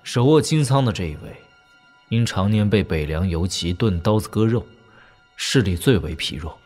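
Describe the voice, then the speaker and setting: Low-voiced, wry male storyteller. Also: animated, normal speed, a little mellow, soft background music. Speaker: narrator. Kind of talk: scripted storytelling with wry asides.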